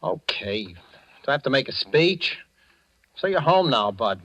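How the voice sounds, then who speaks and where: A proud, wounded young man from an old radio drama. He speaks tersely in a medium-pitched voice with a guarded, brooding edge. The sound is lo-fi and dulled, like an old broadcast. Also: big pitch swings, measured pace, fairly bright tone, exaggerated voice. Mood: sullen, resentful. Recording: dull, lo-fi vintage radio recording.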